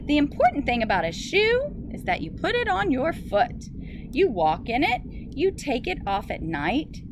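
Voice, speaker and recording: A woman with a fairly high, very lively voice reads a picture book aloud to young children. She sounds like a schoolteacher, phrasing things simply and expressively. The recording is made outdoors, with some ambient noise behind her. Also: moderate pace. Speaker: teacher reading a story aloud to children.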